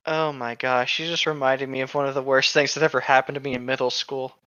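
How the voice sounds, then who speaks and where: A man's voice: mid-range voice, level tone, fast talker, pushed and bright, clear, resonant tone, no echo, highs cut off. A man chatting casually and candidly on a podcast, telling rambling personal stories with some swearing. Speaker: co-host of a reading podcast. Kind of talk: casual chat with anecdotes.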